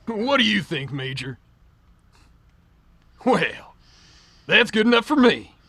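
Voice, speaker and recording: A man plays a rough, blustering deputy in a radio western. His voice is high and sharp, with lively swings in pitch. He sounds self-important. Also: takes it slowly, exaggerated voice. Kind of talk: old-time radio western acting.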